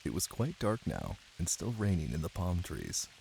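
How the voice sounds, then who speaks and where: A man doing a cozy boyfriend ASMR bedtime reading, soft, intimate and playful. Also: deep voice, wildly swooping pitch, normal speed, creaky, rough recording. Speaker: ASMR voice actor playing a caring boyfriend. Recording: light hiss under rain ambience. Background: rain.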